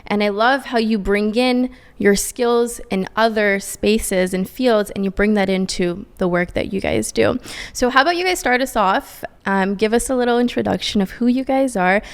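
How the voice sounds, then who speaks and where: A woman's voice: mid-range voice, normal speed, hardly any pauses, smooth. A woman hosting a podcast, opening the show and introducing guests in a bubbly, welcoming way. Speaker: podcast host. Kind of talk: upbeat podcast introduction and interviewing.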